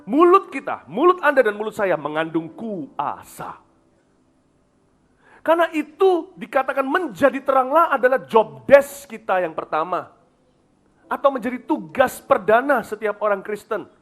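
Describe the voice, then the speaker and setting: A middle-aged man from Indonesia preaching a sermon as pastor, emphatic, moving between loud declarations and soft, confiding asides, now and then dropping English phrases. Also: very high voice, very animated, moderate pace.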